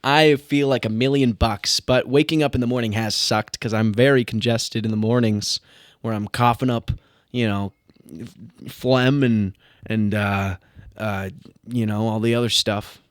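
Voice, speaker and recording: A man's voice: medium pitch, steady tone, unhurried, dry recording, clean studio sound. Congested man joking in casual chat. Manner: joking, teasing. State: congested from a cold. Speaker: podcast co-host.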